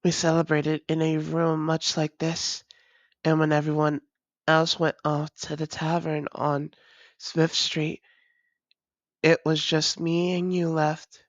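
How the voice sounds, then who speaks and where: A tender vampire woman, acted by a female performer speaks to her long-lost love in an intimate audio roleplay. Her voice is low and very slow, with old-fashioned, formal wording that often softens toward whispering. The recording is a little dull and muffled. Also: level tone, no echo. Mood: fond, intimate.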